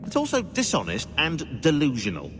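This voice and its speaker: Opinionated British historian, sardonic, indignant, articulate, lively intonation, video game dialogue, music behind. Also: male voice, fairly high pitch, normal speed. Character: cynical, opinionated male historian, argumentative and bitingly dry.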